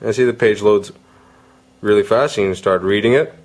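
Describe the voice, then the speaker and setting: Male product reviewer, lower-pitched, casual and unscripted, slightly halting delivery with mid-sentence rephrasing.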